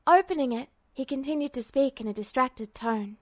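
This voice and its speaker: A woman with a fairly high voice narrating a story in a steady, storytelling manner. The recording is close and dry with no room sound. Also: moderate pace, dry recording. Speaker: podfic reader. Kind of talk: scripted fiction narration.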